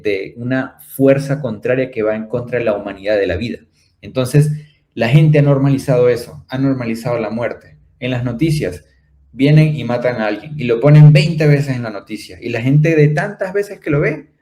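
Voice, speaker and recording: Venezuelan man with a mellow voice. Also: medium pitch. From Maracaibo, Venezuela. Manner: rhetorical questions with repeated tag phrases. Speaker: speaker on a spiritual talk show. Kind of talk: earnest explanatory talk on prophecy.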